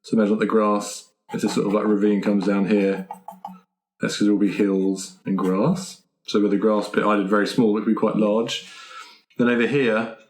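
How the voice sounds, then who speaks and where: Male tabletop player, lowish voice, casual improvised description in conversation. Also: fairly even intonation, normal speed, darkish tone, rough recording. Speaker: roleplaying game player.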